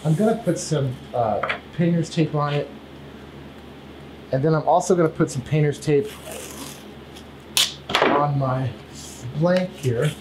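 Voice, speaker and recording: A man's voice: fairly high pitch, slow, long pauses. Man, 30s, woodworking vlog host, easygoing shop-floor rambler, faint background hiss. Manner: relaxed, rambling with many fillers and restarts. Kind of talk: casual workshop vlog. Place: cluttered home woodworking shop, indoors. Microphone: camera at a few feet.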